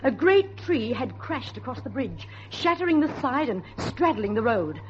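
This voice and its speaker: A woman's voice: sits high, very animated, normal speed, over music. A woman playing an anxious, determined young niece in a suspenseful radio drama, speaking and narrating in an earnest, literary way. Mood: grave, suspenseful. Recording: muffled old radio recording, faint noise.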